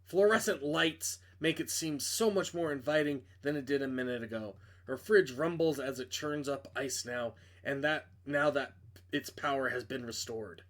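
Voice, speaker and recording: A man's voice: medium pitch, moderate pace, fairly bright tone. A man reading a visual novel aloud as a dramatic narrator, sometimes stumbling over words. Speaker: reader playing a visual novel.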